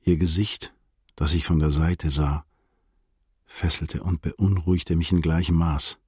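Radio play narrator, reflective prosecutor: very low, husky, observant, dry close sound. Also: male voice, steady tone, darkish tone, no echo, full character voice. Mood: measured, reflective. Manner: measured, detached, observant. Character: reflective male state prosecutor recounting a visit to friends. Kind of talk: literary first-person radio drama narration.

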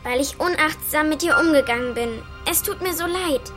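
A woman's voice: sits high, normal speed, close to an everyday voice. A female actor playing an eager, earnest young granddaughter in a German children's radio play. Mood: sheepish. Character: young granddaughter Stella, eager, chatty, a little remorseful. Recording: music under the voice.

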